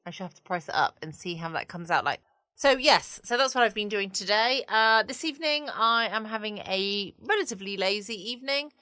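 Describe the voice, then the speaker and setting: A woman's voice: medium pitch, lively intonation, brisk, bright, forceful tone, no room sound. A woman chatting casually and wryly as the host of a late-night bedtime podcast. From United Kingdom.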